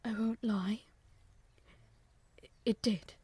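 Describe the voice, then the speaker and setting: A woman playing a gentle, patient young wife who speaks softly, often in a whisper. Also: on the high side, fairly even intonation, drawn-out words, heavily acted. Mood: calm whisper. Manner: soft, often whispered, breathy.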